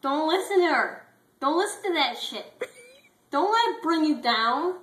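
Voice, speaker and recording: A woman's voice: high-pitched, lively intonation, measured pace, a bit bright, roomy sound, slight background noise, voice pushed up, poor audio. A woman voicing a big male storybook dog, a loyal and sweary buddy who talks insistently and emphatically. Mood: fired-up, consoling.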